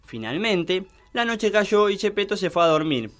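A man's voice: high-pitched, very animated, moderate pace, faint music behind. A man narrating a scripted mock children's tale as a storyteller.

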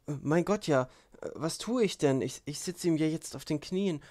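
A man plays an impulsive young woman in a storybook reading, confessional and capricious. Her speech is effusive and exclamatory, often lifted in pitch, with a close, dry sound. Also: a little slow, dry recording. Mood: startled, pious. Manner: exclamatory, effusive, repeats herself.

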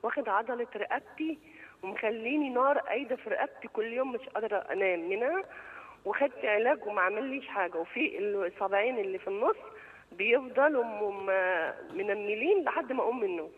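A woman's voice: on the high side, lively intonation, fast, husky. Egyptian woman in her fifties calling in on the phone. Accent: Egyptian Arabic. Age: 50s. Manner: hesitant, polite, listing pains. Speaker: caller. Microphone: telephone. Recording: tinny echoey phone line.

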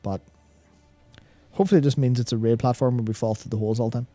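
A young man with a soft-edged, mellow voice thinks aloud as a gaming show co-host, circling and repeating words in casual discussion. The close studio mic is very dry, with faint music behind. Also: mid-range voice, steady tone, fast, dry recording. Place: small indoor studio with acoustic foam panels. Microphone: broadcast mic on a boom arm, close.

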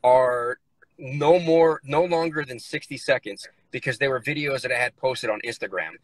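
A man in his thirties from the United States talks casually and bluntly on a phone video call from inside a car. His voice sits mid-range, and the sound is dry and close. He speaks plainly and relaxed, with loose, everyday phrasing. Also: mid-range voice, normal speed, no room sound, rough recording. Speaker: guest.

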